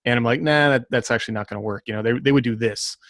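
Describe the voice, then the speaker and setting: Medium-pitched man thinking aloud, dry sound. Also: very fast, a bit bright, no room sound. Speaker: podcast panelist. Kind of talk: casual group podcast chat.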